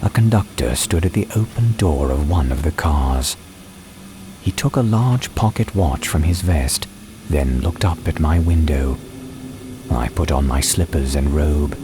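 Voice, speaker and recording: A man reading a dramatized storybook as an audiobook narrator, with measured, deliberate phrasing. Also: a bass voice, lively intonation. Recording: clear ambience and train noise behind. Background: soundscape of train and ambience.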